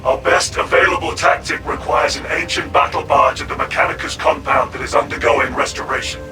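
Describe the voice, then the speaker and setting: Game commander, male, man voices him: calm authority, measured, slightly high. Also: natural voice. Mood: commanding. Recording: distant voice under loud game music.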